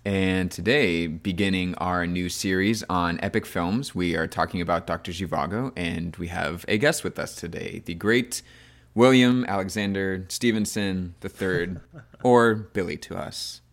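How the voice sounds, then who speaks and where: A man with a low voice hosts a film discussion. His phrasing is loose and conversational, like someone chatting with friends while steering the show. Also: a little slow. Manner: informal, loose phrasing full of hedging "like". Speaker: podcast host.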